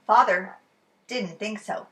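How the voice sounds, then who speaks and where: A woman reading a children's story aloud as expressive storytime narration, animated and with dramatic pauses. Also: medium pitch, slight background noise. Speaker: storybook reader.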